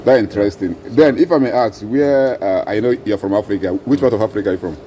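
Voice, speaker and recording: A man's voice: lowish voice, fast talker, dark tone, slight background noise. A man interviewing a mechanic in a casual way, encouraging him and repeating his answers back.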